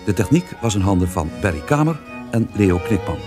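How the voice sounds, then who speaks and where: A man's voice: fairly low pitch, expressive, fairly quick. A man reading scripted credits as a radio announcer. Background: prominent music with strings.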